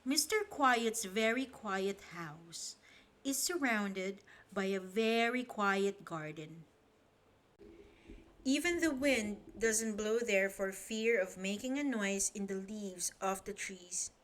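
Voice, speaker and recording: Slow, expressive storybook-reading woman. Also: medium pitch. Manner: lively expressive reading with questions to the listener. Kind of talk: children's bedtime story read aloud.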